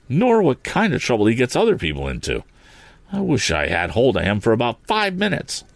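A man's voice: sits low, huge pitch swings, normal speed, no echo, exaggerated voice. A man voicing an angry young inventor hero in a storybook, indignant and demanding. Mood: grumbling, resolved.

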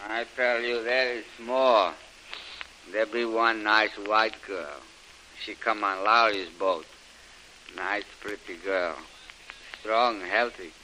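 A man's voice: on the low side, fairly even intonation, takes it slowly, pauses often, pushed and bright, full character voice, rough recording. An actor playing a burly, brutish, gloating henchman who blusters in broken pidgin English in an old radio adventure serial. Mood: leering, scheming. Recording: hissy old radio recording.

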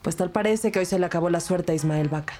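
A woman's voice: fairly low pitch, fast talker, warm tone, slight background noise, natural voice. A woman playing a determined police detective who narrates her case in a scripted audio drama. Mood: tense narrating. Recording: music bed behind the voice.

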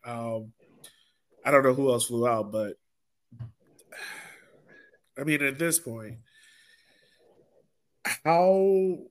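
A man's voice: medium pitch, extremely slow, long pauses. Man, rambling sports podcaster. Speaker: soccer podcast host. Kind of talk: casual sports discussion.

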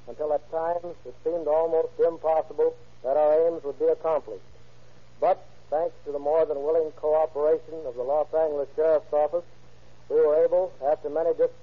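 A man, a police detective lieutenant, reading a prepared statement on the radio in a stiff, formal manner. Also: on the high side. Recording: tinny 1930s radio recording.

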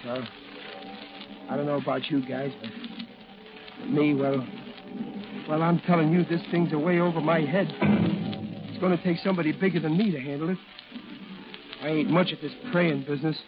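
An actor playing a tough, plain-spoken working-class American Marine in a 1940s radio drama, blunt and halting in his speech. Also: fairly high pitch, fairly even intonation, natural voice. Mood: earnest, weary. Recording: muffled old radio broadcast, music underneath.

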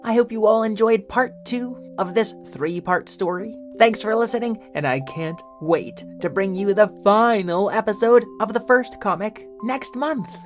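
A woman's voice: medium pitch, a little slow, hardly any pauses, faint music behind. A woman narrator and host delivering scripted narration for a children's audio story.

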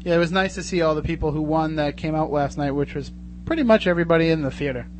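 A man's voice: fairly high pitch, fast talker. A man hosting a live talk radio show in a casual, joking, chatty way. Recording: clearly noisy radio studio with hum.

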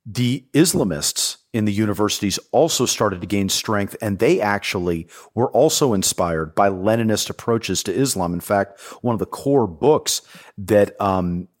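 A man with a very low, deep voice walks a podcast host through history. He talks in a thoughtful, explanatory way, pausing with fillers and restarting phrases. He sounds like a former soldier now speaking as an informed guest. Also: animated.

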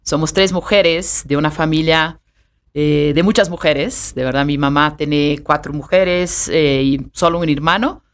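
A woman's voice: low voice, smooth. Brazilian woman speaking Spanish with an accent. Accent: Brazilian Portuguese. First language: Portuguese. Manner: halting, many restarts and fillers. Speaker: guest. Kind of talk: conversational podcast interview.

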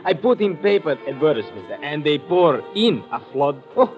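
An actor playing a harried, money-loving restaurant owner, effusive broken English and old radio character acting. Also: male voice, fairly high pitch, very animated, moderate pace. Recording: muffled old radio recording with music.

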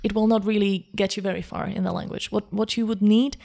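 Slovak woman with a gravelly edge. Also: medium pitch, steady tone, quick pace, dark tone. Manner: eager, thoughtful, frequent restarts. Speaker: guest. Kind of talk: casual conversation.

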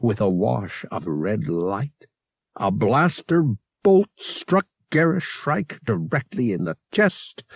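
Male fiction narrator: dramatic scripted read, deliberate pacing, highly animated intonation, dry close sound. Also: gravelly, slightly rough, dry recording. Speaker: audiobook narrator.

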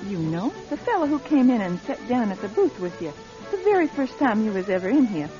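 Folksy diner waitress, chatty and fairly high, radio play, muffled with music behind. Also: female voice, sing-song, brisk. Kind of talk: radio drama acting.

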